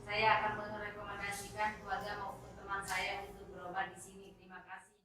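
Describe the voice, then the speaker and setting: A woman in her thirties reciting a patient testimonial in an even, memorized way. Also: mid-range voice, fast talker. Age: 30s. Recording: echoey room, distant mic, noise.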